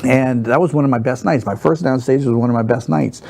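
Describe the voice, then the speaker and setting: Monotone man with a dark voice. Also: mid-range voice, brisk, vocal fry. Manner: rambling with many fillers and restarts. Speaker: speaker teaching stand-up basics. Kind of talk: unscripted talk to camera. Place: studio set with a wooden backdrop, seated at a desk.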